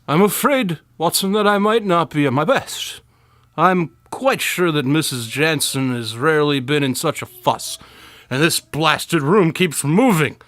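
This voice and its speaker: A man playing a pompous, tipsy detective, higher-pitched voice. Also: animated, unhurried, a bit bright, no room sound. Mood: muddled, gloomy. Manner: theatrical, wordy, self-important. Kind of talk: radio drama acting.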